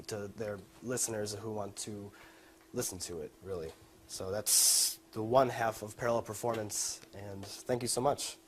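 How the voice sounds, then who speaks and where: A young man giving an informal student presentation of a project, speaking a little hesitantly with frequent pauses. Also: mid-range voice, slight background noise. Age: young adult.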